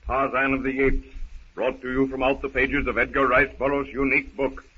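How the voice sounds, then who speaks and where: A man's voice: medium pitch, little pitch movement, a little fast, sharp tone. A man narrating a 1930s radio adventure serial in an intense, theatrical style. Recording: dull, very noisy 1930s radio recording.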